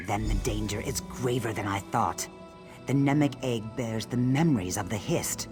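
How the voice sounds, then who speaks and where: A man's voice: fairly low pitch, moderate pace, music in the background, natural voice. An actor playing a grave, urgent Argonian lizard-man in a fantasy video game, speaking in a solemn, formal way.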